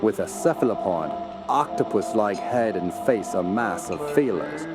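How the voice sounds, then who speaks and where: A man's voice: fairly low pitch, animated, measured pace, over loud music. A man playing an awed, grave scholar with ornate wording in a period radio drama. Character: awed, grave scholar describing a monstrous idol.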